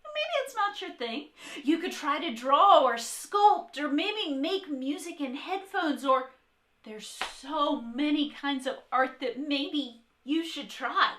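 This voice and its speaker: A woman art teacher reading aloud and talking to young children in an encouraging, expressive, direct way. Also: high voice, takes it slowly. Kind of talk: children's read-aloud and talk to young kids.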